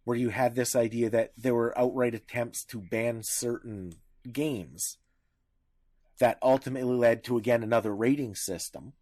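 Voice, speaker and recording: Halting man in casual podcast discussion. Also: medium pitch, fairly even intonation, darkish tone. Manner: halting, searching for words, rephrasing often. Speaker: podcast co-host.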